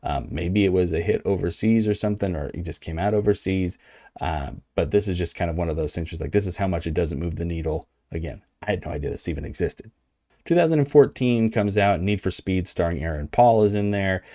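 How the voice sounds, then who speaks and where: A man with a low, mellow American voice gives casual video commentary. He sounds conversational and informal with a wry edge, and the recording is close and dry. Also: a little fast, no room sound. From United States. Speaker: video host.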